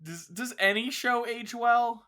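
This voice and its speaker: A man's voice: very high-pitched, a little slow, sharp tone. A young man co-hosting a casual podcast, teasing his co-host and poking fun at himself in a dry way. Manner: dry, teasing, self-mocking.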